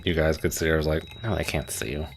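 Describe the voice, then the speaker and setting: A man's voice: extremely low pitch, fast, vocal fry, heavy background noise. A young man from Maryland casually chatting with viewers while playing a game, swearing lightly and laughing often. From Maryland, USA. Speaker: streamer.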